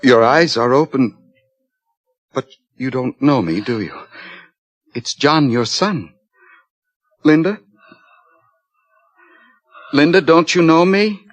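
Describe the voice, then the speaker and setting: An actor playing an anguished, fiercely poetic young outcast in a radio play, declaiming in a theatrical way. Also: male voice, medium pitch, expressive, slow pace, pauses often, soft background music. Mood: grieving. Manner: theatrical, declaiming archaic verse.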